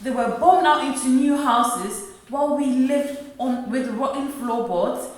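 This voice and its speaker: A woman's voice: high voice, unhurried. A woman playing a worried, poor wife and mother in a radio play, earnest and reproachful. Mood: pleading. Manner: earnest, reproachful, repeating her husband's name. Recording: very echoey room.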